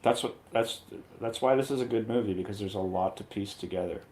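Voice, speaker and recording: A man's voice: fairly low pitch, brisk, darkish tone. A man chatting casually as a panelist in a movie review discussion.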